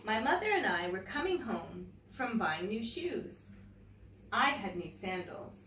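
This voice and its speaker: Roomy, expressive woman reading to children. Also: medium pitch. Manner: expressive, deliberate phrasing, addressing young listeners. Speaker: teacher reading picture books to children. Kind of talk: read-aloud storytelling for children with chatty asides. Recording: roomy, distant mic, faint background noise.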